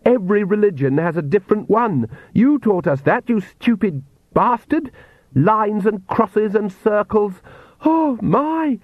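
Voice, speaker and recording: A man voicing a sharp-tongued, furious young vampire woman who snarls scornfully at her father. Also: a little high, big pitch swings, normal speed, dry recording, phone-quality sound, voice pushed up. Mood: hysterical fury.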